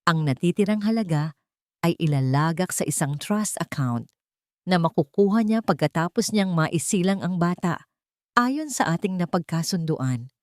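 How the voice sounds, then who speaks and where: A woman with a fairly low voice narrates a scripted romance story. Her phrasing is deliberate, with dramatic pauses, and the sound is dry and close. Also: lively intonation, no room sound. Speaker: narrator of romance audio stories.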